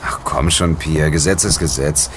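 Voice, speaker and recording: Very deep-voiced wry young sleuth. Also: male voice, fairly even intonation, normal speed, background noise. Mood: wry, playful. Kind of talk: German audio drama acting.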